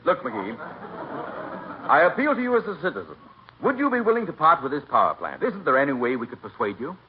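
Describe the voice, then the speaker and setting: An old, muffled radio comedy recording with some noise carries a dignified, self-assured mayor. He speaks formally and articulately in a fairly high, animated voice. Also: a little fast. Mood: persuasive. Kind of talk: 1940s radio comedy acting. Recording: dull, noisy 1940s radio broadcast.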